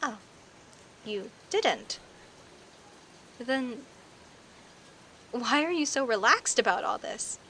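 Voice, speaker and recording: A woman playing a friendly, teasing young werecat neighbor in an audio roleplay, chatting playfully to the listener with hesitant pauses and theatrical emphasis. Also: on the high side, expressive, pushed and bright, a little hiss or hum, exaggerated voice, poor audio. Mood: relaxed, curious. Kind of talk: audio roleplay, casual one-sided conversation with the listener.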